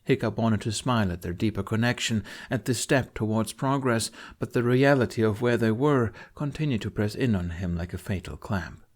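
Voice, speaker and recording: A man's voice: lowish voice, moderate pace, hardly any pauses. A man reading dramatic fiction as a scripted audiobook narrator.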